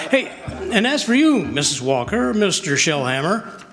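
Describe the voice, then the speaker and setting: Man playing a grand store boss, high voice. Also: very animated, takes it slowly, crowd noise, exaggerated voice. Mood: pleased, expansive. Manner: declarative, expansive, speechifying. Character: grand, generous male department store owner. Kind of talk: stage radio drama acting. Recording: live hall reverb.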